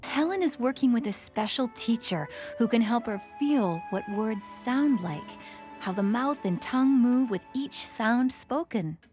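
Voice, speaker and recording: A woman playing a patient, encouraging teacher in an educational children's cartoon, explaining things gently. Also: fairly high pitch, animated, measured pace, faint music behind. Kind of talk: animated educational series dialogue.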